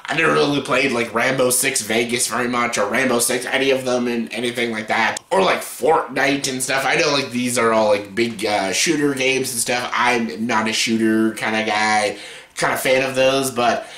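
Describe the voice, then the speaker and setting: A man in his late twenties with a scratchy yet bright, forceful voice, talking loosely and profanely through a casual countdown. His delivery is rambling and full of fillers. The room around him gives a noticeable echo. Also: mid-range voice, normal speed. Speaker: vlogger. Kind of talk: casual top-ten countdown. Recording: roomy echo.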